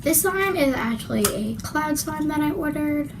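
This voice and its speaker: A woman's voice: high voice, animated. A young woman reviewing slime in a casual vlog, chatty and apologetic, her voice worn and her throat scratchy. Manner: apologetic, chatty, drawn-out words. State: losing her voice, clearing her throat. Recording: loud background noise.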